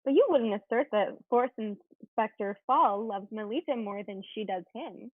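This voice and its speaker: A woman's voice: medium pitch, normal speed, slightly rough, no room sound, lightly acted. A woman playing an opinionated, scornful teenage schoolgirl in a dramatic reading, on a thin, phone-like recording. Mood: challenging. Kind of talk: dramatic reading of a period play. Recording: thin phone-like sound, very dry.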